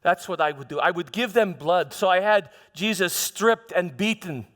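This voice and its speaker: Man playing a high-voiced troubled Roman governor, echoing hall. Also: animated. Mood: grim. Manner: halting, repeats words, swings from hushed to shouting. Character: proud, troubled Roman governor recounting a trial, defensive and frustrated. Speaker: preacher performing a dramatized monologue. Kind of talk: live theatrical first-person monologue. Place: large church hall, live performance. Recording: echoey hall with long reverb.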